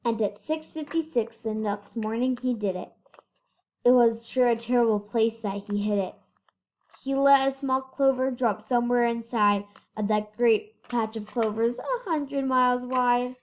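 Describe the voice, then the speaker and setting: A female reader giving an animated children's picture book read-aloud in rhyme. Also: on the high side, measured pace. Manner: animated rhyming delivery with whispered asides. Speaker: story reader.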